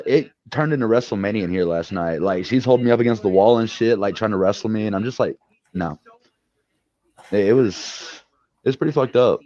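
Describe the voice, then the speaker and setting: A man's voice: on the low side, a little mellow. Man telling a profane story, dull audio. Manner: swearing, blunt, laughs through his story. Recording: dull lo-fi sound.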